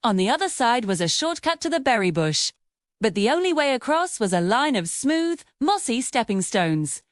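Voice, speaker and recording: Lively, high-voiced woman telling a children's story. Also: moderate pace, no echo, clean studio sound. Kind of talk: scripted narration of a children's moral story.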